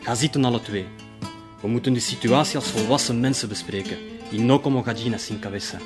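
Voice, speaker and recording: A man plays a level-headed plotter in a radio play. His mid-range voice is measured and placating, the peacemaker of the group. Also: medium pitch, loud music behind the voice, lightly acted. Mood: firm, calming. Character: calm, reasonable male conspirator who soothes quarrels. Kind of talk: radio drama acting, switching between Spanish and Dutch.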